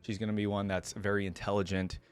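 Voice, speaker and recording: A man's voice: lowish voice, level tone, quick pace, clean tone, faint music behind. A man hosting a reaction video, reading a fantasy story aloud and adding casual, conversational commentary with asides and questions.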